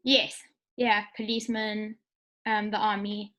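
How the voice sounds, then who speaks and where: A woman's voice: medium pitch, flat delivery, measured pace, bright, forceful tone. A young woman college student from Africa speaks in a friendly way over a video call. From South Africa.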